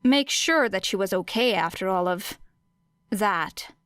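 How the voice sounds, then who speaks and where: Clear, smooth female audiobook narrator. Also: medium pitch, expressive, measured pace, fairly bright tone, no echo, studio quality. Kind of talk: scripted fiction narration.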